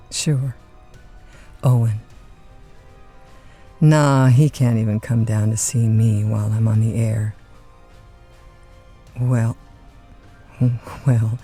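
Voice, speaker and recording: An actress playing a chatty, easygoing overnight radio disc jockey in an audio drama, with a folksy, informal manner. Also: female voice, rumbling low, dark tone, husky, exaggerated voice. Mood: casual. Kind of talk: naturalistic audio drama acting.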